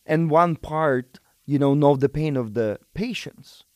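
A man's voice: on the high side, unhurried, a little mellow, dry recording, studio quality. Middle-aged man with a Ukrainian accent. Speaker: surgeon, interview guest. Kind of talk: conversational interview.

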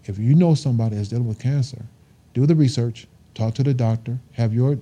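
Man, 50s, truck-driver guest; earnest and emphatic, very mellow dark tone, mid pitch. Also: brisk, muffled recording. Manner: earnest, emphatic, repeats himself. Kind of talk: casual interview talk.

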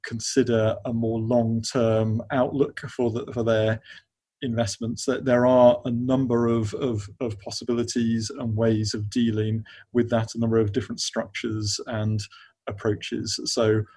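A man giving unscripted, measured answers in a business interview, often repeating words as he feels his way through a sentence. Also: medium pitch, level tone, darkish tone. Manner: halting, repeats words as they search for phrasing. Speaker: interview guest, investment funds expert at a law firm.

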